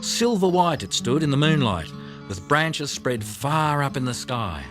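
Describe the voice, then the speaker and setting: Male narrator for a children's audiobook, highly animated intonation, music underneath. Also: unhurried. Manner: expressive storytelling. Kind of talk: scripted children's story narration. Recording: music behind the voice.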